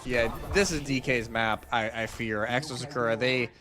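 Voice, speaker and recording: A man giving live analytical esports commentary in a casual, conversational, somewhat halting way. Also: medium pitch, crowd noise.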